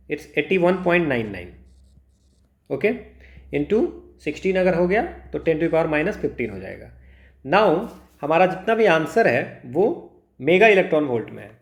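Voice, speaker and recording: An Indian man tutoring physics, working through exam problems step by step, mixing Hindi and English and asking himself questions he then answers. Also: on the high side. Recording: echoey room.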